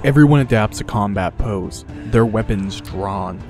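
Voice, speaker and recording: A man's voice: fairly low pitch, measured pace. A man narrating a scripted fantasy audiobook in a dramatic storytelling style. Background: music.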